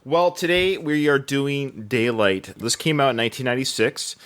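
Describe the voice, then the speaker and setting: A man's voice: mid-range voice, normal speed, fairly bright tone. A man in his forties, hosting a podcast and talking eagerly in casual chat. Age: 40s. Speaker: podcast host.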